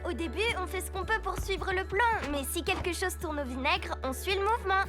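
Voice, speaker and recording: A woman voicing an earnest, encouraging young girl superhero who leads her team in an animated cartoon. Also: very high-pitched, a little fast, music in the background.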